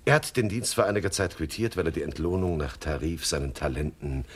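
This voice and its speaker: An actor playing a suave, jovial gang leader in a radio crime comedy, genteel and wordy, with chuckles and mock politeness. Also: male voice, extremely low pitch, fairly quick, breathy edge. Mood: amused, mock-courteous. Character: jovial, suave male crime boss running a front agency. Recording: faint noise on 1970s radio production.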